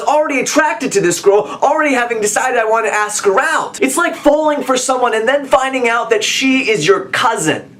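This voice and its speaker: A young American man in his twenties telling a comic scripted story to camera as a vlogger, with animated, emphatic delivery. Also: very high-pitched. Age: 20s. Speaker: video blogger and motivational speaker.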